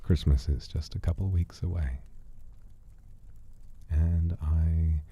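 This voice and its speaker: A man hosting a livestream, chatting casually and reflectively in a soft-spoken, sincere, unhurried way that often drops to a breathy murmur. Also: extremely low pitch, steady tone, muffled recording. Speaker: livestream host.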